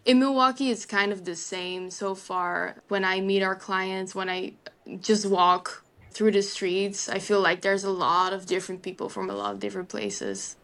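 A woman's voice: mid-range voice, moderate pace, vocal fry. Chatty young Dutch woman. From Netherlands. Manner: relaxed, chatty, uses "like" and "kind of" often. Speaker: legal assistant, guest. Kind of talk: casual interview answers.

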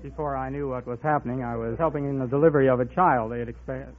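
A man's voice: mid-range voice, fairly even intonation, fast, narrow, tinny audio. A man answering earnestly as a contestant on an old, noisy radio quiz show. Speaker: quiz contestant, school attendance officer.

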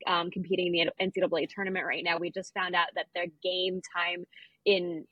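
Young american woman podcaster just chatting, bit raspy, wry, really dry sound no room echo. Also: on the low side, little pitch movement, brisk, lo-fi sound. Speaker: podcast co-host. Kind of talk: casual chat.

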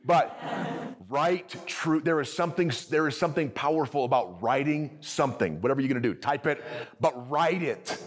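A man's voice: fairly high pitch, moderate pace. Guy pastor giving a sermon, upbeat and talky, keeps asking the crowd stuff. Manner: energetic, conversational, quizzes the audience. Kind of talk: sermon to a live congregation. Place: church service with audience.

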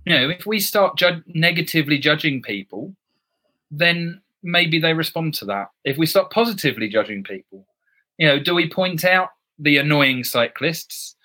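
A man with a bright, forceful voice, talking on a podcast. He is reflective and builds his argument point by point. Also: fairly high pitch, expressive, normal speed. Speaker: driving instructor guest. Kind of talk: podcast discussion.

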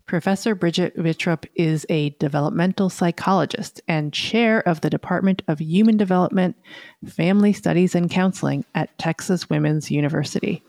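A woman's voice: lowish voice, fairly quick, gravelly, a little mellow, no room sound. A middle-aged woman presenting and interviewing as co-host of a podcast.

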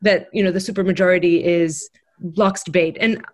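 A woman's voice: lowish voice, fairly even intonation, normal speed, darkish tone. A woman from Greece speaking in a political discussion, reflective and careful, qualifying her points as she goes. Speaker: progressive panelist, former congressional candidate.

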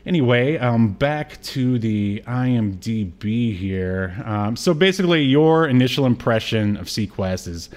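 A man's voice: medium pitch, lively intonation, a little slow, hardly any pauses, a little mellow. A man co-hosting a podcast, chatting casually about a TV show with joking, wry asides. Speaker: podcast co-host.

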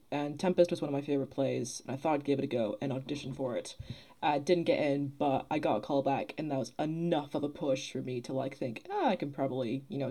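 A woman's voice: extremely low pitch, mellow. A young woman chatting casually as a podcast guest, reflective and articulate, explaining things at length. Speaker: podcast guest, theatre producer and actor. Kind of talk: casual interview chat.

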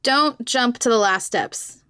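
A woman's voice: fairly high pitch, slow, sharp tone, clear, resonant tone, dry recording, studio quality. A woman hosting a podcast interview in a casual, giggly, informal way.

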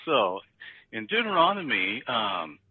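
A man calls in as a podcast guest, and his voice comes through a thin, tinny phone line. He sounds a little husky and dry, and he jokes and laughs easily while he explains things. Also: fairly low pitch, big pitch swings, measured pace, no echo. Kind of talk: casual conversation about scripture.

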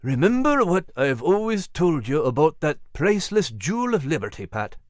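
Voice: medium pitch, sing-song, moderate pace, dry recording, studio quality, close to an everyday voice. A narrator voicing a solemn old father giving instruction.

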